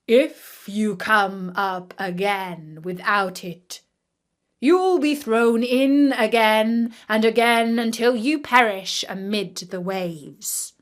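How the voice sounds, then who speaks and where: Female reader as a cruel king, commanding, slow, threatening, storybook. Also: medium pitch, natural voice. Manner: commanding, measured. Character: stern, threatening king issuing a cruel decree. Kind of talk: children's storybook character voice.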